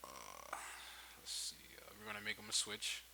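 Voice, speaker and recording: A man with a fairly low but strikingly bright, edgy voice hosts a casual tutorial. He talks off the cuff, working things out aloud and doubling back on himself. A light hiss sits behind his home recording. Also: wildly swooping pitch, moderate pace, gravelly. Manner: informal, thinking aloud, backtracking mid-explanation. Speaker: tutorial host. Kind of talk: casual unscripted software tutorial walkthrough. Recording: hissy home microphone, noticeable background noise.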